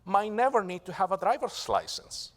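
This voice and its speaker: A man giving a live keynote talk, animated, with frequent restarts and self-corrections, often asking the audience "right?". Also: high-pitched, normal speed. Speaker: keynote speaker.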